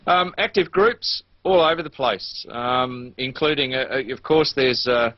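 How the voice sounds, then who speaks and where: A man in casual unscripted technical chat, talking in a chatty, halting way. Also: medium pitch, dry recording. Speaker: amateur television operator. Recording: dull, dry lo-fi transmission audio.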